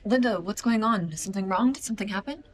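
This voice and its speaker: A woman's voice: mid-range voice, fast, a little hiss or hum, natural voice. A woman playing an earnest, worried and protective older sister in an audio drama. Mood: alarmed.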